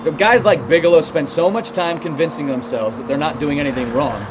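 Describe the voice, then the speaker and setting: An actor playing a cynical, plain-spoken American police detective in a video game, matter-of-fact and jaded. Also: male voice, a little high, brisk, natural voice. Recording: noisy car and street ambience.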